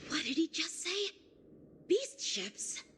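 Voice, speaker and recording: An actress playing an earnest young girl adventurer, quick to alarm, in a video game, with expressive, exclamatory delivery. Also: very high-pitched, slight background noise, heavily acted. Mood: startled.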